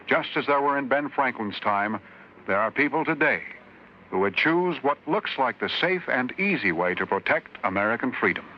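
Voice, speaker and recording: A male announcer reading an earnest public service message in a measured, solemn way. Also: medium pitch. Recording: dull old radio broadcast, faint hiss.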